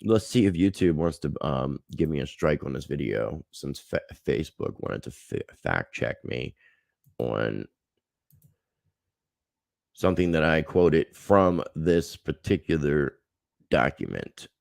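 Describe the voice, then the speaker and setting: A man's voice: rumbling low, lively intonation, slow pace, creaky, darkish tone, dry recording, clean studio sound. A man hosting a livestream, chatting casually and earnestly to his viewers. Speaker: livestream host.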